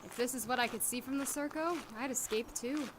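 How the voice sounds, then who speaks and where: A woman's voice: sits high, brisk, a little hiss or hum, lightly acted, low-quality recording. A woman playing a blunt, idealistic doctor in an audio drama, with natural, conversational delivery. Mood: musing, determined.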